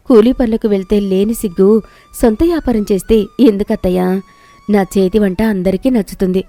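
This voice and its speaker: A woman's voice: mid-range voice, dark tone, dry recording, lightly acted. A woman voicing a hopeful, determined young village daughter-in-law in a cartoon story, earnest and reassuring. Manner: earnest, reassuring, respectful to her elder.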